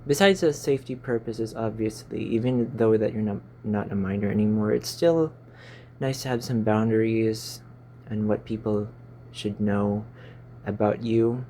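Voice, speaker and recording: Young Filipino-accented man, lowish warm voice, casual rambling delivery with verbal stumbles, phone-recorded. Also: moderate pace, slight background noise. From Philippines. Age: 20s. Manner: stumbling, fumbling over words. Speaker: YouTuber. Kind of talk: casual rambling vlog chat. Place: small stuffy room.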